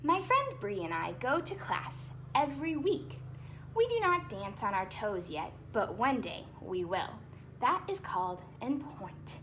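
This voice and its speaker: Woman with lively, high-pitched storytime delivery. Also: unhurried, a little hiss or hum. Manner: expressive, explaining words simply to young children. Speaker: ballet dancer hosting children's storytime. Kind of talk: storytime read-aloud with chatty asides for children.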